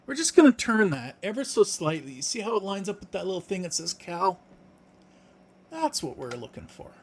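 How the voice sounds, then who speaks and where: A man presenting a hands-on gadget demonstration in a casual, unscripted way, explaining things in plain, informal words. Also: a little high.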